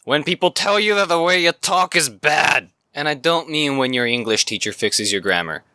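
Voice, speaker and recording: Animated young man, bright and crisp. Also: moderate pace, no room sound, clean studio sound. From Hawaii. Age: young adult. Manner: animated, jumps to sudden high exclaims. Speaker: video creator. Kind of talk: casual storytelling vlog.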